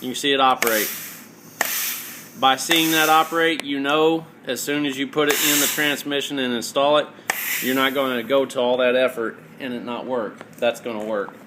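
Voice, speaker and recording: A man's voice: medium pitch, steady tone, normal speed. A man giving an informal, hands-on mechanical tutorial, explaining step by step in a plainspoken way. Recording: roomy echo, clear background noise.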